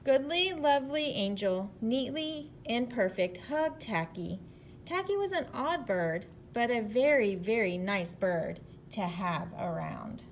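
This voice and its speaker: A woman with a fairly high voice reads and talks to young children like a teacher. Her delivery is expressive and slow, with faint noise behind it. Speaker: teacher reading to pupils. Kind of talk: children's storybook read-aloud and talk.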